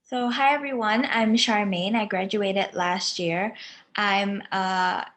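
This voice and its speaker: A woman in her twenties speaks at a middle pitch, presenting informally to an online audience. She is a recent graduate from Hong Kong, and she pauses and hesitates often as she explains her points. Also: fairly even intonation, fairly bright tone. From Hong Kong, born in Singapore. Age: 20s. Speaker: recent graduate sharing student experience.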